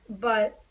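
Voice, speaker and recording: A young woman vlogging to camera in a small bedroom, talking earnestly and openly, her voice slightly raspy and breathy. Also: a little high, a bit bright, a little hiss or hum. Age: young adult. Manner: earnest, open, reassuring. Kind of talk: personal vlog talk to camera.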